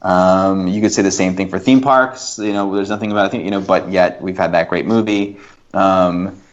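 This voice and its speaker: A man speaking as a podcast guest in a casual panel discussion, arguing haltingly and often reworking his sentences. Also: sits low, steady tone. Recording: dull, lo-fi voice recording.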